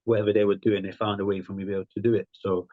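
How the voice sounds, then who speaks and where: A man's voice: lowish voice, level tone, rapid-fire, dry recording, phone-quality sound. A man in his thirties, a podcast guest, talking frankly in loose, rambling phrases with plenty of "um" and "like". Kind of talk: conversational interview.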